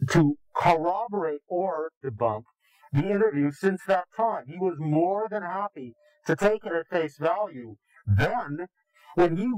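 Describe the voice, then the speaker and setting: A man's voice: fairly high pitch, a little mellow, dry recording, low-quality recording. A man being interviewed, speaking in a contemptuous, indignant way. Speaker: anonymous interviewee. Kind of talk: recorded interview.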